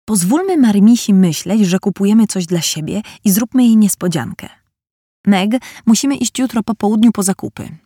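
A headstrong teenage tomboy, brisk and firm, rallying her sisters with energy. A woman narrator plays her with a somewhat low, mellow tone, recorded dry and close. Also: dry recording, close to an everyday voice. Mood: lively. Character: tomboyish bold teenage girl, the family's leader.

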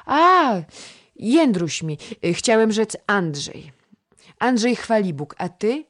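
A woman's voice: mid-range voice, big pitch swings, slow pace, pitched above the performer's own voice. A woman voicing a shy, sulky young page boy who answers in curt, mumbled replies. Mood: grudging.

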